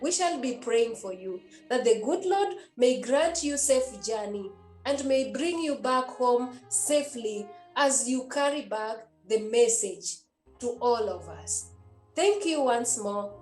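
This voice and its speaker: Nun, high voice, earnest and repetitive preaching to youth; roomy echo, faint music underneath. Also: female voice, a little mellow. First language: a Kenyan language. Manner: earnest and repetitive, with many hesitations. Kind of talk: exhortation to young people.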